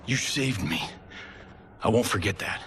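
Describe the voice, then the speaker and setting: Animated, wisecracking action star. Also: male voice, on the low side, unhurried. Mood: sincere. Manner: casual, sarcastic, exclamatory. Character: brash, wisecracking action movie actor. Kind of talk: dramatic video game acting. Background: dramatic score.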